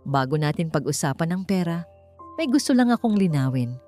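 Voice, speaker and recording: A woman's voice: deep voice, sing-song, brisk, warm tone, soft background music. A woman voicing a wronged wife in an audio drama story, firm, controlled and vengeful. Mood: cold, firm.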